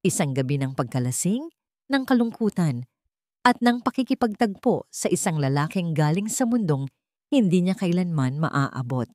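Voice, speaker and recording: A woman's voice: lowish voice, sing-song, moderate pace, darkish tone, no room sound, studio quality. A woman with a Filipino accent narrating a scripted love drama with dramatic, emphatic phrasing. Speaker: storyteller.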